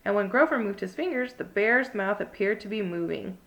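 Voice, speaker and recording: A woman's voice: medium pitch, expressive, moderate pace, a bit bright. A woman narrating a scripted children's story as a read-along. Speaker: storybook reader.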